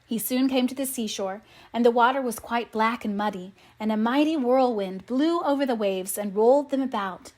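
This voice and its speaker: A woman narrating a storybook aloud in an expressive, measured manner. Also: on the high side. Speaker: audiobook narrator.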